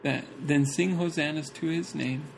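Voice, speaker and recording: A man's voice: on the high side, steady tone, moderate pace, gravelly, background noise. A man reading a church talk aloud and chatting casually about it, breaking into jokes and laughter between passages.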